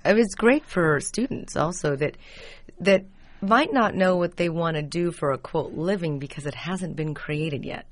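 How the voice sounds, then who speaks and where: Host: middle-aged woman, low voice, casual and chatty interviewer. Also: unhurried, no echo.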